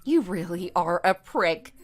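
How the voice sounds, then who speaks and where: A woman's voice: on the low side, lively intonation, unhurried, soft background music. A woman playing a scornful, triumphant wife in an audio drama, with a sarcastic, emphatic delivery. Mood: scornful, mocking. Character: wronged wife, scornful and triumphant, kicking out cheating husband. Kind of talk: amateur audio drama acting.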